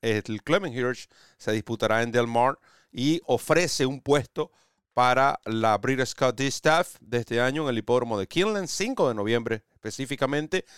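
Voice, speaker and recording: Clear-voiced male horse racing host. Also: mid-range voice, expressive, moderate pace, a bit bright, dry recording, clean studio sound. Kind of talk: sports show presenting and race preview.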